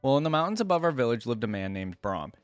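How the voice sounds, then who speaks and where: A man's voice: mid-range voice, very animated, fast talker, no room sound, faint music behind. A man hosting a reaction video, moving between reading lore aloud and informal commentary as he thinks aloud.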